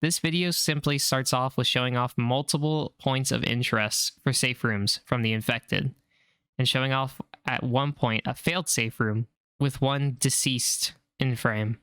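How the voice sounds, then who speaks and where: A man's voice: medium pitch, fairly even intonation, measured pace, sharp tone, clean tone, no room sound. A man narrating explainer commentary on horror videos.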